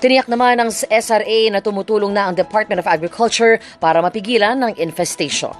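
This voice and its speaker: A woman's voice: medium pitch, fast. A woman with a Filipino accent reading scripted news as a radio anchor. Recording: music bed behind voice. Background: music.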